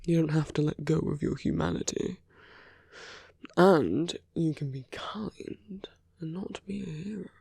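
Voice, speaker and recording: A man voicing a charming, flirtatious villain with a tender side in an intimate ASMR roleplay, soft and teasing, often dropping to a whisper. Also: a little high, a little slow, vocal fry, slightly rough, dry recording, clean studio sound, exaggerated voice. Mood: earnest, gentle.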